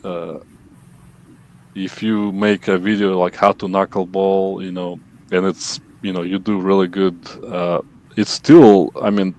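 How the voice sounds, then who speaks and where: A man's voice: low-pitched, little pitch movement, measured pace, a little mellow, a little hiss or hum. A man hosting a podcast, chatting loosely with lots of fillers and asking questions. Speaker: podcast host. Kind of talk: casual interview chat.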